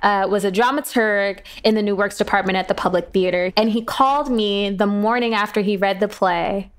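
A woman's voice: medium pitch, steady tone, moderate pace, clean tone. A woman speaking as an interview guest, reflecting on her creative work.

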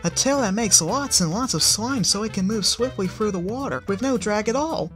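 A man's voice: high voice, animated, moderate pace, a bit bright, soft background music, voice pushed up. A man putting on a playful, cooing young seapony girl.

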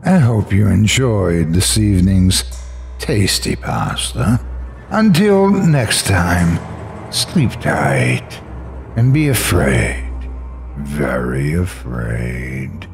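A man in his sixties narrating a horror story in a theatrical, folksy manner with drawn-out suspenseful pauses. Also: sits low, very animated, creaky, faint music behind. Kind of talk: dramatic scary-story narration.

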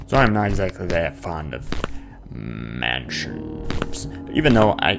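Creaky low-voiced male gamer, lively pitch. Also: slow pace, music in the background. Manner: muttering asides, nervous exclamations and playful nonsense noises. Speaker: gamer commentating on his horror game playthrough. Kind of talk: casual let's play commentary.

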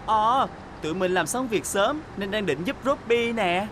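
A woman voicing a friendly little bus in a dubbed children's cartoon, speaking kindly and calmly. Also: mid-range voice, measured pace, background noise, natural voice. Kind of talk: cartoon dubbing.

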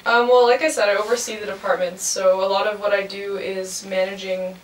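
A woman in her twenties explains things casually in an interview. Her voice is mid-pitched. It rings in an echoey room with faint background noise. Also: fairly even intonation, brisk, far from the mic. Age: 20s. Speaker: interview guest, radio station manager. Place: room with couches, amps and instruments.

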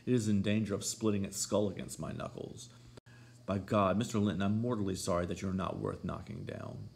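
Man doing deep gravelly menacing guy, sneers and threatens. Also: fairly quick, darkish tone, a little hiss or hum. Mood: sneering. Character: brooding menacing young man, scornful brute.

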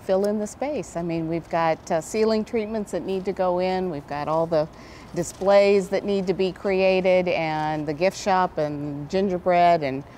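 A woman's voice: fairly low pitch, fairly even intonation, measured pace, warm tone, slight background noise. A middle-aged woman with an American accent, answering questions conversationally as an event director in a TV interview, on a lapel mic. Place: large indoor event hall.

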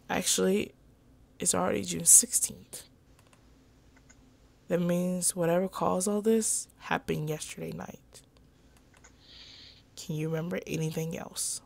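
A woman's voice: fairly low pitch, vocal fry, a little mellow. A woman hosting a let's play, reading visual novel dialogue aloud in a dramatic, acted way. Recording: music playing behind voice.

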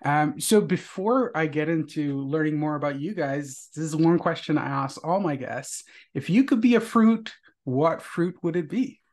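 A man's voice: on the high side, moderate pace, darkish tone. A man in his thirties with a blended Indian and Irish accent, hosting a casual interview, close on the mic. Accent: mixed Indian and Irish. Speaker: podcast host. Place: indoors, small room with wall art, on a video call. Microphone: large microphone on boom arm, close.

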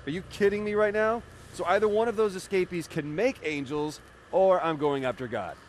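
A man's voice: sits high, moderate pace, a little hiss or hum, close to an everyday voice. A man playing a cocky, wisecracking young American with superpowers in a video game, casual and sarcastic. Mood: sardonic. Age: young adult.

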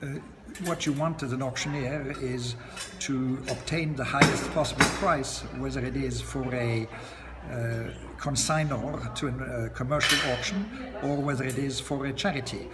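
A man in his sixties, an auctioneer, answering interview questions in a relaxed, chatty way with frequent "uh" pauses and light chuckles, filmed up close in an indoor lounge by large windows. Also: medium pitch, heavy background noise. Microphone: camera close to his face. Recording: long echo, loud crowd chatter behind.

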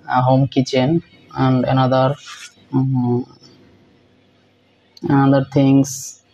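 A young man hosting a reaction video in halting, repetitive English with many fillers, filmed close on a phone in a small room. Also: medium pitch, creaky, dark tone, muffled recording, a little hiss or hum. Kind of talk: casual reaction commentary.

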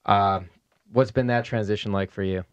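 A man's voice: fairly low pitch, steady tone, moderate pace, clear, resonant tone, lo-fi sound. A young man hosting a podcast in a studio, asking guests chatty questions. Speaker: podcast host. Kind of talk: casual interview. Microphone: studio mic on boom arm, headphones.

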